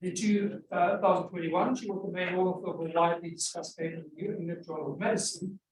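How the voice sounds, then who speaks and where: Man running a lecture session, pretty high voice, echoey hall, a bit far from the mic. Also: steady tone, quick pace, a crowd in the background. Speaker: chair of the session. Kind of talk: introducing speakers.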